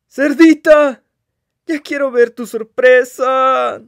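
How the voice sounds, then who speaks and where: A man voicing an excitable, impatient elephant in a children's picture book, exclaiming and wailing dramatically. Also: extremely high pitch, takes it slowly, vocal fry, smooth, full character voice.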